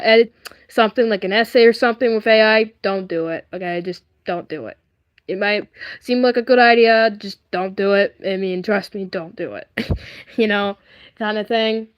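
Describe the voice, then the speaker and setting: A woman hosting a casual livestream, talking in a rambling, earnest and emphatic way and often repeating herself. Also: mid-range voice. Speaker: livestream host.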